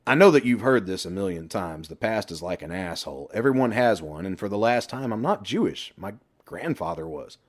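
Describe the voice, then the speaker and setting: A man's voice: fairly low pitch, expressive, a little fast. A man voicing a defeated, defensive middle-aged husband protesting to his friend in an audiobook. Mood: weary, defensive.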